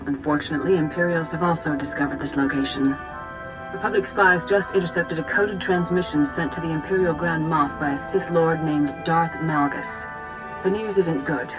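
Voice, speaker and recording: An actress playing an authoritative Jedi Master commander in a video game, calm, formal and measured. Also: female voice, low-pitched, over music, natural voice, poor audio. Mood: grave, resolute.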